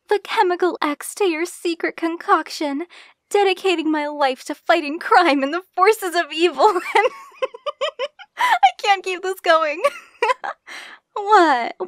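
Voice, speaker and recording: A woman's voice: very high voice, slow pace, pauses often, no room sound, studio quality. A woman playing an affectionate, playful young bride in an intimate ASMR roleplay, speaking tenderly with a giggly, teasing manner. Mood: giddy, teasing.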